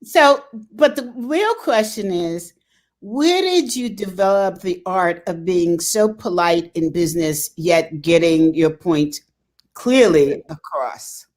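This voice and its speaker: A woman's voice: on the low side, slow, darkish tone. A woman hosting a live show, introducing her guest in a chatty, playful way. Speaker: host.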